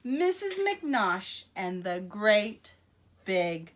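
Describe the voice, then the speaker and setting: A woman reading a picture book aloud to children in an expressive, teacherly way, with asides to young listeners. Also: mid-range voice, drawn-out words.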